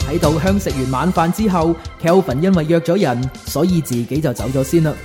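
A man's voice: fairly high pitch, brisk, warm tone, faint music behind. A man narrating a radio drama as a scripted storyteller.

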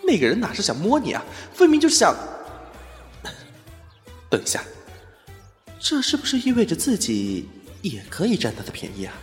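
A man playing a flustered, exasperated high-school boy with a secret crush in an amateur audio drama. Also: huge pitch swings, moderate pace, long pauses, in a large hall, soft background music.

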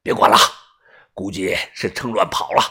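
A narrator acts a calm, practical man from a working crew in an audiobook. The voice is dropped lower with a husky grain, and the manner is steady and dismissive. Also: moderate pace, full character voice. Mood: reassuring. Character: calm, practical senior male crew member.

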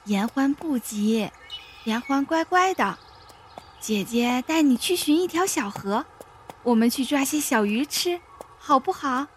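A woman playing a gentle, soft-spoken young woman fleeing with a child, in a Chinese costume radio drama. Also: high-pitched, animated, a little slow. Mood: soothing. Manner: soft, soothing, polite. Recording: faint background noise, outdoor ambience.

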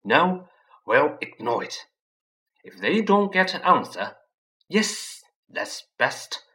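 A man's voice: a little high, big pitch swings, slow, pushed and bright, pitched above the performer's own voice, heavily acted. A man voicing a hot-tempered, bullying middle-aged uncle in a storybook reading, barking and sputtering. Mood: anxious.

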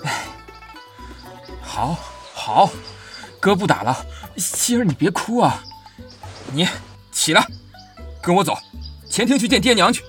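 A woman playing a gruff, protective older brother in a period audio drama, with a commanding manner. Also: lowish voice, sing-song, slow pace, pauses often, soft background music. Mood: relenting, stern.

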